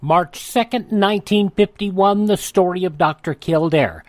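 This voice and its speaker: A man's voice: high voice, darkish tone, dry recording. Brief: man as a radio host, upbeat announcer read, dry studio sound. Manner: upbeat, drawn-out announcer delivery.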